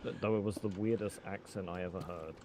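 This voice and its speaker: Casting: deep-voiced male gamer, halting, wry delivery, casual chat, clearly noisy mic feed. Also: steady tone. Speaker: gamer playing Minecraft with friends. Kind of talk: casual group game chat.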